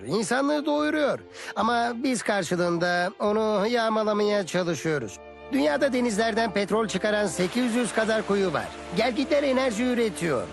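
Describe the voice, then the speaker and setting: A wise cartoon mentor with a high-pitched voice. He teaches in a measured, kindly manner, with music clearly playing behind him. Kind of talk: Turkish dubbed educational cartoon.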